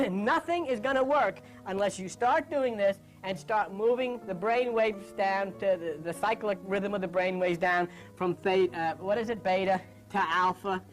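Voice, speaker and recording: A woman's voice: medium pitch, measured pace, soft background music, low-quality recording. A woman giving an informal spiritual lecture in an emphatic, insistent way, repeating phrases for weight. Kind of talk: informal lecture on meditation and religion.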